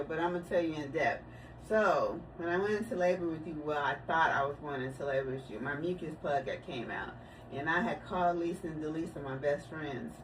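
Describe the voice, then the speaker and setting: Chatty woman in her forties, distant mic. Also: sits low. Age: 40s. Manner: chatty, storytelling, laughs easily. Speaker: vlogger. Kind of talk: casual family chat. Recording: distant mic, noisy room.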